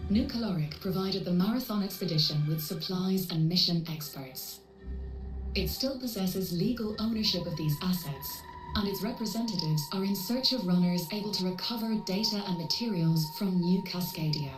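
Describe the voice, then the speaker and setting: A woman playing a composed, coolly corporate AI handler in a video game, speaking politely in measured, clinical, formal phrases. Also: on the low side, lightly acted. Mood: calm, advisory. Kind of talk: scripted video game cutscene dialogue. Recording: roomy processed echo, distant, music underneath.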